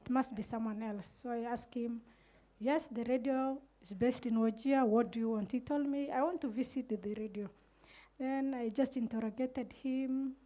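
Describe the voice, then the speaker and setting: A middle-aged woman with a Kenyan Somali accent and a high voice tells a panel her story in earnest, slipping into quoted exchanges as she goes. She holds a handheld microphone in an indoor room. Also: fairly even intonation, normal speed, mellow. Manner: earnest, recounts events with quoted dialogue. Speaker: community radio manager on a panel.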